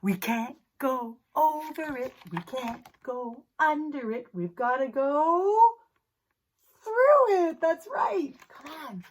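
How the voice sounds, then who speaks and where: Very animated, high-voiced woman storyteller. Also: a little hiss or hum. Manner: theatrical, expressive, drawn-out words; addresses young listeners directly. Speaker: children's storyteller. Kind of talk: animated children's story time, chanted picture-book reading.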